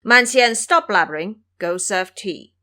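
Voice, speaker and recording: Dignified male deity for audio drama, played by a woman: formal, stern, courteous, highly expressive delivery. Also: a little slow. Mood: scolding. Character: dignified male immortal lord, courteous but scolding.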